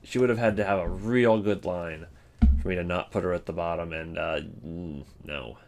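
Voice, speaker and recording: Man, lowish pitch, casual game commentary, rambling, halting, opinionated. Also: steady tone. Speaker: video host.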